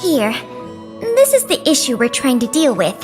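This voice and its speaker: A polite, earnest young woman guiding visitors in a video game. Her voice is high and very animated, eager and friendly as she explains. Faint music plays underneath. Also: normal speed. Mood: eager, explanatory. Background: faint background music.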